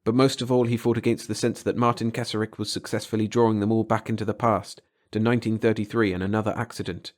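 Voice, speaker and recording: A man's voice: lowish voice, little pitch movement, fast talker, few pauses. A man reading a story aloud as an audiobook narrator, in a dramatic scripted style.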